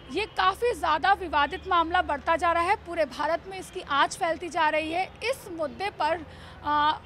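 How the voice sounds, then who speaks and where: Very high-pitched woman news reporter, bright voice. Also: slight background noise. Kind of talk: news field reporting and interview.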